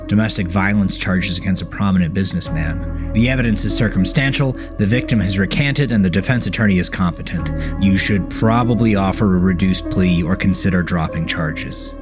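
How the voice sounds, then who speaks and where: Deep-voiced man narrating horror over music. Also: a little fast, few pauses. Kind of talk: scripted second-person horror story narration. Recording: voice over prominent background music.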